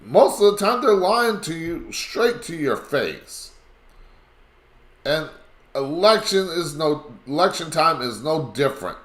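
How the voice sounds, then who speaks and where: A man with a raspy voice hosting a live call-in talk show, talking in a rambling, emphatic way. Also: fairly high pitch, sing-song, takes it slowly, fairly bright tone, reverberant.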